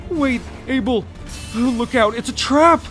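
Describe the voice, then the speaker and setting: A man's voice: extremely high pitch, slow pace, fairly bright tone, faint music behind, full character voice. A man playing a timid, loyal young half-merman in a fantasy audio drama, stammering and pleading with emotion. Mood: panicked warning.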